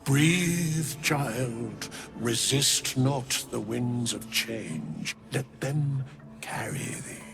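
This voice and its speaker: An ancient, solemn mystic, speaking extremely slowly with a creaky, gravelly edge. The phrasing is archaic and soothing, rising and falling widely, in fantasy game dialogue. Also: male voice, medium pitch, animated, over music, exaggerated voice. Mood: solemn, commanding. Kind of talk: video game character dialogue.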